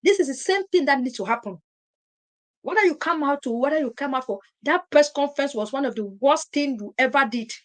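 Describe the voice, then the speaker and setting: A woman's voice: high-pitched, fairly quick. A woman hosting a live stream, talking emphatically, repeating her points and firing rhetorical questions. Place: at home in Europe.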